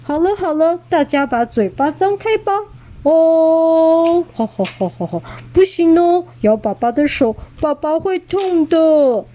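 A woman voicing a gentle, caring T-rex father in a storybook reading, speaking softly and dotingly. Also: extremely high pitch, animated, unhurried, pitched above the performer's own voice. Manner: soft, doting and reassuring.

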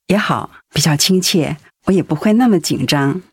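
A woman's voice: sits low, normal speed, slightly rough, no room sound, clean studio sound. A woman acting a caring, polite middle-aged pastor's wife in a conversational radio drama style. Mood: polite, reflective.

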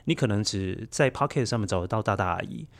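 A man in his forties with a Taiwanese Mandarin accent, speaking thoughtfully as an interview guest and explaining with gentle emphasis. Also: lowish voice, lively intonation, fast, gravelly. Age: 40s. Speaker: guest, children's story podcaster. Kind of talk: reflective interview talk.

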